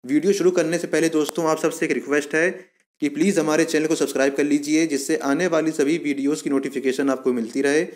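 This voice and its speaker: Male explainer host, slightly high voice, informal step-by-step delivery with repeated points. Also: fairly even intonation, fast talker, darkish tone. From India. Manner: repetitive, step-by-step explaining, addressing viewers as friends. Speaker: YouTube channel host explaining a police job.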